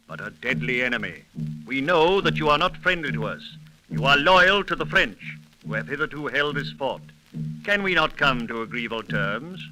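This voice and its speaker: A commanding English officer speaks with formal courtesy. The voice is bright, forceful and a little high, in old 1930s radio drama style. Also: male voice, full character voice, rough recording. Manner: formal, measured diplomatic address.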